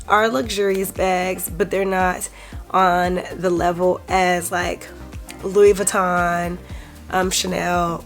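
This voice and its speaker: A woman in her twenties hosting a casual vlog, sounding congested from a cold and chatting in a rambling way with frequent ums. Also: mid-range voice. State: congested, recovering from illness. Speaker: vlog host.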